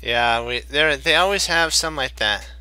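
A man in his fifties streaming chess puzzles from home, thinking aloud to viewers, his voice getting croaky. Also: mid-range voice, moderate pace, pushed and bright, no echo. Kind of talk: live chess puzzle commentary.

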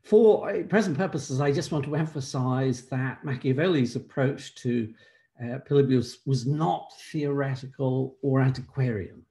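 An older man, an emeritus professor, giving a measured academic keynote over a video call from a room at home, pausing often on "uh". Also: mid-range voice, mellow. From Ireland. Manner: measured scholarly delivery with frequent "uh" pauses. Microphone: laptop webcam on a video call.

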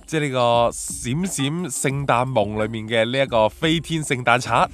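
A man's voice: mid-range voice, moderate pace, fairly bright tone. A man with a Hong Kong accent hosting a conversational film talk show, speaking colloquially and emphatically. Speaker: radio show host.

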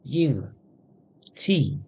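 A man in his thirties giving casual, halting let's-play commentary, thinking aloud as he plays. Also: a little high, big pitch swings, dark tone. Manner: halting, thinking aloud, spelling out what he types. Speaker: gaming video host. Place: indoors at a computer. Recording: faint background noise, game ambience.

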